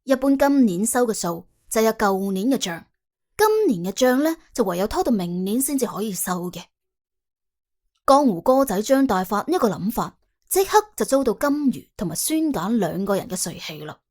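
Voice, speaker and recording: High, lively Cantonese woman storyteller. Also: normal speed. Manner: wry, colloquial, exclamatory. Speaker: audiobook narrator. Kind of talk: dramatic scripted storytelling.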